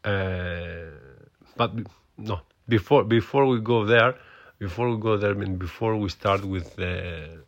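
A man's voice: on the low side, a little slow, dull audio. A man with a Greek accent, hosting a solo podcast and talking with many hesitations and fillers. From Greece. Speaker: podcast host.

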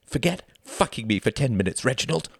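Man acting wounded young wife, high-pitched, pleading, bitter, insistent, audiobook drama, dry close sound. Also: lively intonation, very slow, creaky, no echo, heavily acted. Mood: angry, demanding.

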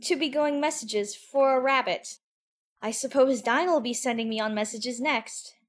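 A curious, chatty little girl, acted by a woman, with a high voice. She is polite and a little prim, thinking aloud to herself in a storybook manner. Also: normal speed. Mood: puzzled, musing. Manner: musing, prim, talking to herself. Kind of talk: audiobook character acting.

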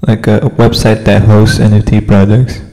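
A man's voice: on the low side, flat delivery, normal speed, dark tone, long reverb tail. A man in his thirties from California, co-hosting a podcast in a hesitant, casual chat with frequent laughs. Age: 30s. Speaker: podcast co-host.

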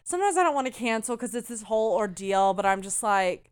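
Loud, animated young woman co-host. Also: fast, smooth, no echo, studio quality. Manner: loud, booming voice; animated, teasing, full of fillers. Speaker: podcast co-host. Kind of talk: casual chat.

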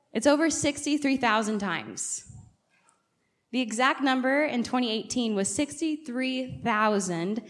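A woman, a guest preacher and native English speaker, giving a conversational church sermon and often putting questions directly to the congregation. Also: medium pitch. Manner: engages listeners with frequent direct questions.

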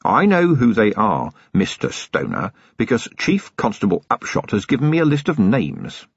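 A man doing a gruff, sardonic police commander. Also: on the low side, wildly swooping pitch, moderate pace, no room sound, dull audio, exaggerated voice. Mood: menacing. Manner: stern, menacing, dryly sarcastic. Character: tough gruff middle-aged male police commander.

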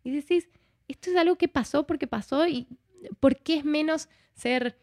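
A woman speaking as a guest in a reflective interview, expressive and conversational, often voicing bits of dialogue as she talks. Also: high voice, moderate pace. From Argentina.

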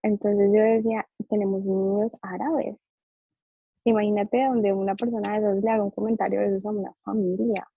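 A woman's voice: medium pitch, fairly quick, vocal fry, husky, dry recording, lo-fi sound. A young woman whose first language is Spanish, a psychologist guest telling her story in a casual interview and animatedly acting out the people she quotes. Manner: animated, acts out quoted dialogue. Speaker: psychologist and behavioral therapist guest.

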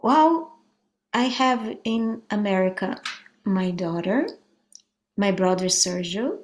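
A woman's voice: mid-range voice, expressive, takes it slowly. A woman from Brazil with a Brazilian Portuguese accent, a musician answering interview questions thoughtfully and often restarting her sentences. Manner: thoughtful, searching for words, frequent restarts. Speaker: musician guest. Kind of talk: conversational interview.

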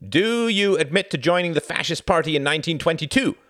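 A brutal, menacing officer , performed by a male narrator in an audiobook. The voice is harsh and grating, raised in pitch and loudly barked out, with impatient, bullying force. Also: animated, brisk, no echo, studio quality, natural voice. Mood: commanding, menacing. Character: brutal, bullying Nazi officer barking orders and charges. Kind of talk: audiobook character acting.

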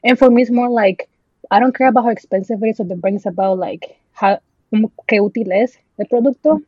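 A woman's voice: fairly high pitch, fast talker, breathy edge, no room sound. Podcast guest, woman in her 20s from El Salvador, chatty with lots of ums, dry dull lo-fi sound. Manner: chatty, many ums, slips into Spanish words. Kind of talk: casual podcast chat.

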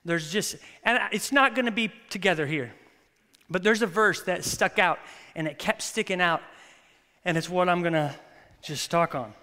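High bright-voiced pastor in echoing hall. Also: male voice, normal speed. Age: 30s. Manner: animated, storytelling with acted-out quotes. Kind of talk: sermon with prayer and stories. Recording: long reverb of a church hall.

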